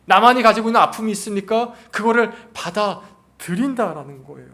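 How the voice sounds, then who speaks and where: A male pastor delivers a sermon in a high-set voice. His intonation swings widely and animatedly. He puts questions to the congregation and repeats key phrases for emphasis. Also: lively intonation, fairly quick, bright, forceful tone.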